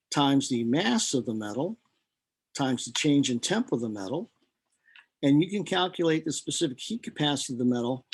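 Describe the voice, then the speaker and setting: A man teaches in a slightly high voice with a husky edge. He explains things step by step as a chemistry instructor would, in an informal but deliberate way. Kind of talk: lecture working through equations step by step.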